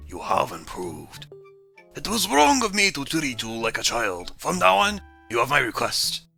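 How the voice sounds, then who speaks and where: A man voicing a stern old male martial arts sensei, speaking slowly and formally in a mock Russian accent. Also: medium pitch, huge pitch swings, gravelly, sharp tone, soft background music, heavily acted. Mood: solemn. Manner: slow, formal, solemn delivery.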